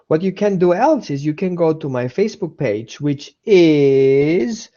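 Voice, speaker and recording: A man's voice: a little high, a little mellow, highs cut off. A man with an Austrian accent hosting a casual live dog-training webinar, chatting with viewers, repeating himself and greeting people by name.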